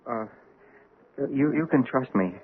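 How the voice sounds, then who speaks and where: A man's voice: mid-range voice, animated, slow, slight background noise. An actor playing a nervous husband who stammers and stumbles over his words in a radio drama. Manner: stammering, jittery. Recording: tinny old radio broadcast recording.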